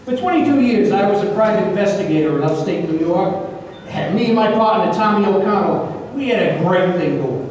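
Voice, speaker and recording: A man's voice: high-pitched, animated, fairly quick, a little mellow. A man playing a jaded, wry retired private investigator in an old time radio drama, hesitant and rambling. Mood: wry, reminiscing. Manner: hesitant, rambling, filler-heavy storytelling. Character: jaded, wry retired small-town private investigator telling his tale. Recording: echoey hall, distant mic, faint noise.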